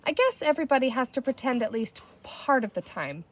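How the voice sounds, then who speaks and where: Woman acting a thoughtful, high-voiced young girl. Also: very animated, brisk. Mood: musing. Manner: hesitant, pondering. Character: thoughtful, cautious young girl puzzling things out.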